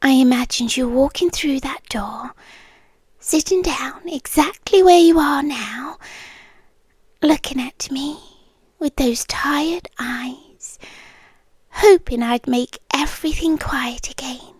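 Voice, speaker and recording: Woman acting a slow, high, whispery British hypnotist girlfriend. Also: no room sound. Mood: doting, possessive. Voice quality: soft, breathy, often whispered. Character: possessive hypnotist girlfriend, soothing, doting, quietly controlling. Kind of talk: ASMR roleplay. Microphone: close microphone.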